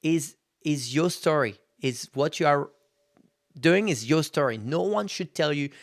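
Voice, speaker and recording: A man's voice: fairly high pitch, lively intonation, unhurried. A man in his thirties hosting a podcast, talking casually and candidly, swearing freely and calling his listeners guys. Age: 30s. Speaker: podcast host. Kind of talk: casual motivational monologue.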